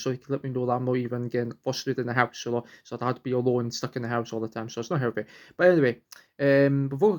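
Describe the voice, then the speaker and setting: A man's voice: medium pitch, darkish tone. A young man, vlogging at home, rambling earnestly and restarting sentences as he searches for words. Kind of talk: rambling personal vlog.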